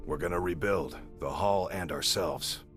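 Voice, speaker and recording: An actor playing a gruff, hardened Nordic warrior in a video game, stern and measured. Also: male voice, sits low. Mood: stern, reflective. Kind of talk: video game character dialogue. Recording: music behind the voice.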